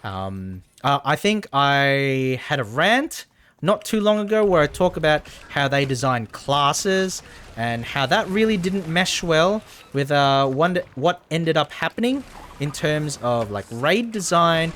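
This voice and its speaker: A man's voice: on the high side, big pitch swings, takes it slowly, a bit bright, a little hiss or hum. A man casually commentating on a game as he livestreams, rambling and often repeating his phrases. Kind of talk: casual gameplay commentary and chat.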